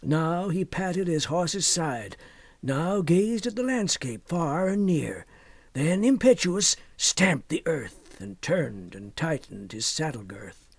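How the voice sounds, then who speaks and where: Male poetry reader, fairly high husky voice, theatrical delivery with swells and hushed drops, very dry recording. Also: dry recording. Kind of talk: dramatic recitation of narrative poem.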